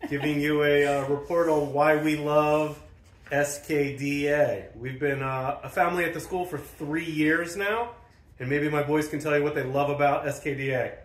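A middle-aged man, a school parent, giving a relaxed, friendly, slightly hesitant greeting into a phone held at arm's length indoors. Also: fairly high pitch, moderate pace, roomy sound. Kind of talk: casual family greeting.

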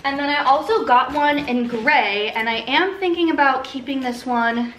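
A young woman talks casually to her camera in a living room. Her voice is fairly high and very bright, and she speaks with excited, gushing emphasis. A little background noise and room ambience sits behind her. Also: moderate pace, rough recording. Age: 20s. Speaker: vlogger. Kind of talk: casual chatty haul vlog to camera. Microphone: camera a short way in front of her.

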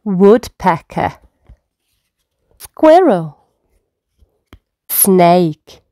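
A woman storyteller speaks to very small children in an encouraging, simple way. Her delivery is extremely slow, with a lively, sing-song rise and fall in pitch. The sound is dry and close. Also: no echo. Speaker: children's read-aloud storyteller. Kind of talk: reading first words aloud to toddlers. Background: faint music.

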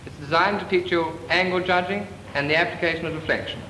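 Crisp flying instructor. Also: male voice, sits high, fast, sharp tone. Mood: instructive. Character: brisk male naval flying instructor. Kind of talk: 1940s training film acting. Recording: echoey, hissy 1940s film soundtrack.

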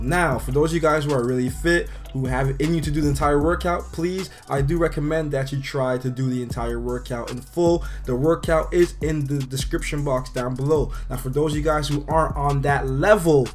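A young man with a hoarse, fading voice chatting casually about a workout in a gym, rambling a bit and laughing now and then. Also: on the high side. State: hoarse, losing his voice. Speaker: fitness vlogger and trainer. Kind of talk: casual workout vlog talk. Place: gym, indoors.

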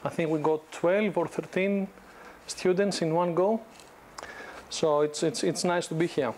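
A Greek man in his thirties speaks to a university class in a loose, conversational way, often restarting his sentences. His voice sits a little high for a man. The lapel mic keeps him close and clear in the classroom. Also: unhurried, slight background noise. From Greece. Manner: relaxed, conversational, frequent restarts and fillers. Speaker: guest lecturer. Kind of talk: informal business lecture.

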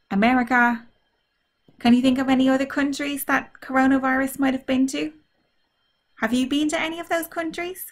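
A woman drama teacher reading a story aloud to children, asking them simple questions in an animated, child-directed way. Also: fairly high pitch. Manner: animated, simple child-directed talk, acting out feelings and sounds.

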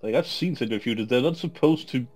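A man's voice: mid-range voice, a little fast, a little mellow, slightly rough, faint music behind. A man co-hosting a casual game playthrough, joking crudely and laughing often. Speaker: let's play co-host. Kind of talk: casual commentary over a game.

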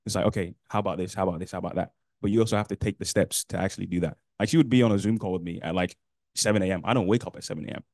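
Young man, quick casual panelist, low voice, dry room. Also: fairly even intonation, a little mellow, dry recording. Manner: casual, lots of "like". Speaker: panelist, alumnus. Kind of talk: panel discussion. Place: university classroom, indoors.

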